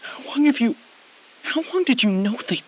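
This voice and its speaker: A man playing an anxious, guilt-ridden ground controller in an audio drama, halting and pleading, often trailing off mid-sentence. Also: very high-pitched, expressive. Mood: alarmed. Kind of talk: scripted audio drama, dramatic phone-call acting.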